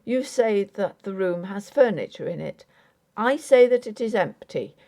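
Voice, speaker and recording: A woman's voice: mid-range voice, lively intonation, unhurried, dark tone. A woman voicing an earnest but impatient Victorian husband in an audiobook, formal, placating and patronizing. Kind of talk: dramatic audiobook character acting.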